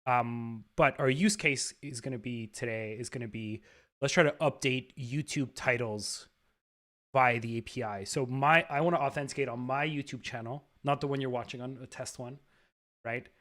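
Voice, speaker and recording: A man's voice: mid-range voice, normal speed, a bit bright. A man hosting a live stream, talking casually in an upbeat way, with lots of fillers and repeated words.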